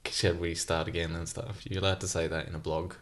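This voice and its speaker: A man's voice: sits low, flat delivery, a little fast. A young man chats as a podcast guest in his lounge room, joking and giggling. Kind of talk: casual chat.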